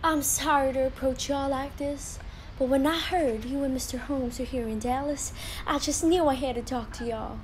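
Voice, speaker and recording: Female actor, young Southern US reporter for audio play. Polite, eager, higher-pitched. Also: steady tone, moderate pace, a little hiss or hum, close to an everyday voice. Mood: polite, coy. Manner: polite, genteel.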